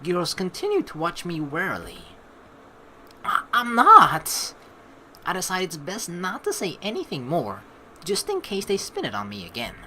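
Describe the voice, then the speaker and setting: A woman's voice: lowish voice, wildly swooping pitch, moderate pace, pushed and bright, a little hiss or hum. A young woman doing playful, slangy let's play commentary and reading game narration aloud.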